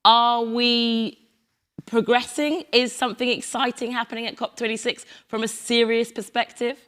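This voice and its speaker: A woman's voice: a little high, little pitch movement, moderate pace, a bit bright. A woman hosting a panel discussion, introducing guests in a chatty way and laughing often. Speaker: panel host. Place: event venue in Glasgow.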